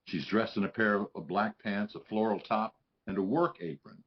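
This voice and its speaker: A man's voice: lowish voice, normal speed, muffled recording. A man reading scripted stage directions as narrator at a live table read.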